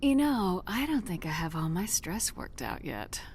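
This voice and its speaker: Low-voiced woman commander. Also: sing-song, measured pace, darkish tone, breathy edge, full character voice. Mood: intimate, wry. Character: resolute female military commander, frank and determined. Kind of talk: cinematic video game dialogue.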